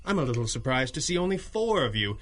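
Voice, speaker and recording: A bright-voiced, cocky superhero. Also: male voice, medium pitch, big pitch swings, fast talker. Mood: dry, defiant. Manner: dry, flippant, wisecracking. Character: cocky, sardonic 1930s masked superhero man. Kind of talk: 1930s-style radio drama acting.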